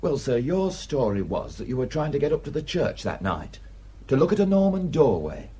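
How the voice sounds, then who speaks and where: An actor playing a respectful, hesitant but quietly challenging male villager in a radio drama. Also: mid-range voice, very animated, moderate pace, breathy edge. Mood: timidly challenging.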